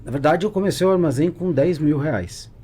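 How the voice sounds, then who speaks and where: A man's voice: on the high side, brisk, dark tone, slight background noise. A Brazilian man speaking casually as a podcast guest about business, telling stories with repetitions and self-interruptions. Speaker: podcast guest, natural food store entrepreneur.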